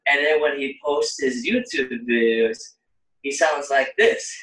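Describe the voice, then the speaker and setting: Young man, casual chat, unhurried pace, bright tone, roomy distant recording. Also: medium pitch, fairly even intonation. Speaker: host and choir bass singer.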